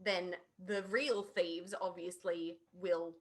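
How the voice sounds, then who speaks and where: A woman's voice: on the low side, steady tone, takes it slowly. A woman, sounding middle-aged, chatting casually as a podcast panelist.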